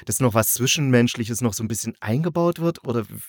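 A man's voice: mid-range voice, lively intonation, quick pace, no echo, clean studio sound. A man chatting casually and colloquially as the host of a review podcast. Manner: colloquial, conversational. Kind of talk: casual review chat about an audio drama.

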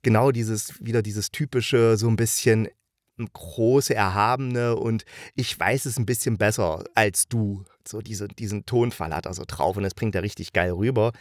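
A man's voice: on the low side, fairly even intonation, moderate pace, no echo, clean studio sound. A man hosting a casual review podcast, talking in an enthusiastic, colloquial and rambling way. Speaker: podcast host reviewing radio plays. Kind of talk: casual chatty review monologue.

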